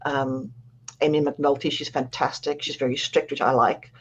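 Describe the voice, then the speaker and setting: A woman's voice: very deep voice, level tone, moderate pace, slightly rough, highs cut off. A woman with a South African accent, speaking as an author guest on a radio interview, chatty and self-deprecating, laughing often.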